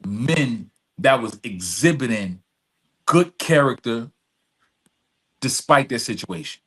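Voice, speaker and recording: A man's voice: medium pitch, animated, takes it slowly, fairly bright tone, poor audio. A man speaking as a podcast guest, telling personal stories candidly and emphatically, with street slang and repeated phrases. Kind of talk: candid long-form conversation, personal storytelling.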